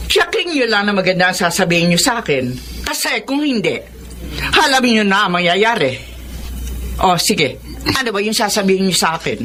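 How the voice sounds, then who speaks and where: A woman's voice: medium pitch, sing-song, normal speed, a bit bright, slight background noise. An actress playing a domineering, scornful Filipino mother who scolds with mocking questions in a melodramatic radio drama. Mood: stern, suspicious.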